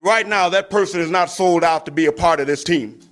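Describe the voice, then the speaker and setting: Guy with high raised voice, emphatic motivational speech, repeating phrases. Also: a little fast, fairly bright tone.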